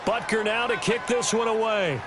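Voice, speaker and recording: A man's voice: sits high, normal speed, natural voice. A man with an American accent acting as an upbeat football play-by-play announcer in a video game, calling the action in punchy, clipped phrases. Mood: brisk, focused. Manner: punchy, clipped phrases, excited on big plays. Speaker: play-by-play sports commentator. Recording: game audio under loud stadium noise.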